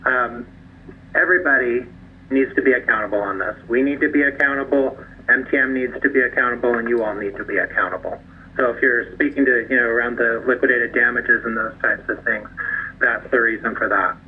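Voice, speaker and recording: A man leading a conference call, giving measured, diplomatic, halting explanations over the phone. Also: mid-range voice, fairly even intonation. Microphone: telephone conference line. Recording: tinny phone line, clear background noise.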